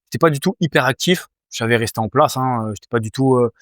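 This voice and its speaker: Young man, smooth voice, halting answers. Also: mid-range voice, fairly bright tone, no echo, studio quality. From France. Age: 20s. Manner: hesitant, many fillers and restarts. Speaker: Muay Thai fighter, guest. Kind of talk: casual interview answers.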